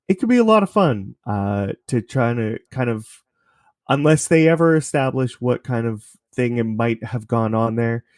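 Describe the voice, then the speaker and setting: A man's voice: mid-range voice, darkish tone, dry recording, clean studio sound. A man from Canada talking casually as a guest in an interview about his own creative work.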